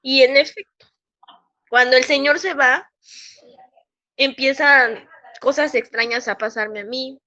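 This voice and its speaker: High-voiced Mexican woman telling her story. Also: pushed and bright, lo-fi sound. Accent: Mexican Spanish. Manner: acts out quoted voices, halting restarts. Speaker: interviewee recounting harassment. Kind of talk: emotional personal testimony.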